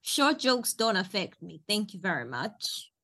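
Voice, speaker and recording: A woman's voice: medium pitch, big pitch swings, a little slow, sharp tone. A woman co-hosting a podcast in casual chat, laid-back, laughing easily and trailing off mid-thought. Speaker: podcast co-host.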